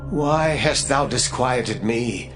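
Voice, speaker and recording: Old male prophet's spirit, stern, grave pronouncements, mid-pitched, biblical audio drama. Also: lively intonation, music in the background, poor audio. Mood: stern, reproachful. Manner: grave, measured, pronouncing doom. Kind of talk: dramatized scripture acting.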